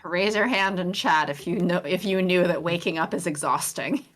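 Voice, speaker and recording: A young woman chatting casually on a game stream, talking in a melodic, animated way with lots of pitch variation. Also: moderate pace, faint music behind. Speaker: streamer co-host.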